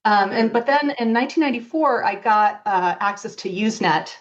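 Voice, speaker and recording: A woman's voice: fairly high pitch, lively intonation, brisk. Woman in her 50s telling old stories casually, kinda wry, muffled lo-fi sound. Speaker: interview guest, longtime fan fiction writer. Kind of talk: casual reminiscing in an interview.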